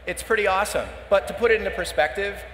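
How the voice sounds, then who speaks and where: Man, startup co-founder, measured, slightly high voice, roomy hall echo. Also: a bit bright, slight background noise. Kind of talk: panel conversation. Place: conference stage in a large hall. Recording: roomy hall reverb, faint ambience.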